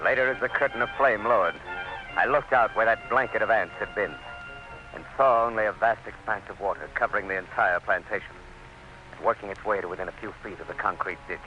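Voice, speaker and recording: A man's voice: fairly low pitch, natural voice. Man as grave commissioner, muffled vintage radio. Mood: grave, relieved. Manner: grave, measured, dramatic. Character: sober male commissioner recounting a harrowing rescue. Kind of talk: old-time radio drama narration. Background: music.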